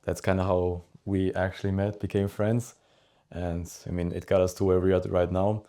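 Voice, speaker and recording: Young man, low mellow voice. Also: little pitch movement, moderate pace. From Austria. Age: teens to young adult. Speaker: podcast guest. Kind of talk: casual interview chat.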